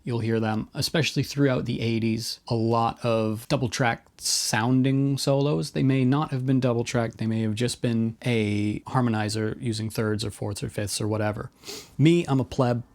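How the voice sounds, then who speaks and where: A man with a mid-pitched voice hosts a guitar effects video as a casual monologue. He explains things informally, states his own opinions freely and undercuts himself with wry, self-deprecating asides. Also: steady tone, normal speed, a little mellow.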